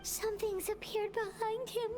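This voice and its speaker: A woman voicing a tiny, excitable, childlike fairy companion in a video game, with a squeaky voice. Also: moderate pace, rough recording. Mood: alarmed. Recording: music behind.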